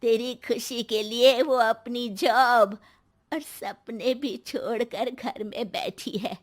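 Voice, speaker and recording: A woman voicing a stern, sharp-tongued old grandmother who scolds emphatically in a cartoon story. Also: a little high, steady tone. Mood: stern advice. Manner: scolding, drawn-out, emphatic delivery. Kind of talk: animated moral story dialogue.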